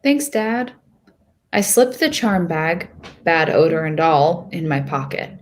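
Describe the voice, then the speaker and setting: A woman reads as a young girl narrating her own storybook adventure. She is hopeful and a little nervous. The voice sits fairly low and moves very slowly, with deliberate expression. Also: expressive, slight background noise. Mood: narrating, earnest. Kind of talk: first-person storybook narration.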